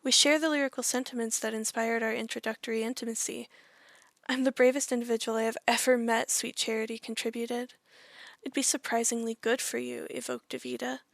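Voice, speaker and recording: A woman's voice: fairly high pitch, little pitch movement, brisk, creaky, fairly bright tone, dry recording. A woman giving a theatrical, playful reading of a monologue, with arch and precise delivery. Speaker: monologue reader.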